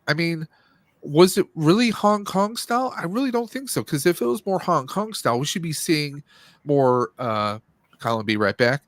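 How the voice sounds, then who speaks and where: A man co-hosting a live stream, giving casual movie commentary in a rambling, halting way as he searches for words. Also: mid-range voice, a bit bright, no echo.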